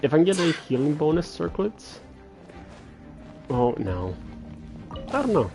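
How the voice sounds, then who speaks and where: A man's voice: a little high, sing-song, unhurried, gravelly, over music. A man casually chatting and muttering to himself as he livestreams a game. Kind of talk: casual gameplay chat.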